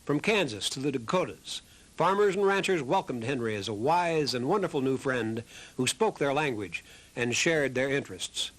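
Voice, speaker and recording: A man's voice: mid-range voice, animated, normal speed, a bit bright, low-quality recording. A man reading scripted narration for a historical documentary in the role of narrator.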